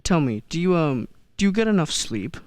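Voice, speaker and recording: A performer playing a kindly, unflappable elderly man who believes he is Santa in a radio play, genial and gently probing. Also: mid-range voice, sing-song, normal speed, no echo, lightly acted. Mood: calmly probing.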